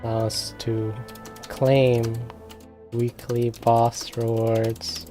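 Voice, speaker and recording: A livestreamer talks casually in a middling pitch at a very slow, halting pace. The speech sounds like thinking aloud at a computer, with faint music and keyboard typing behind it. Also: level tone, warm tone. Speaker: game streamer. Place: indoors at a computer.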